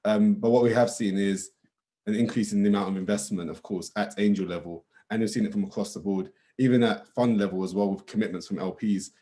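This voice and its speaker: A man giving measured, earnest remarks as an investor on a panel. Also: on the low side, fairly even intonation, dark tone. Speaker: angel investor, panel guest.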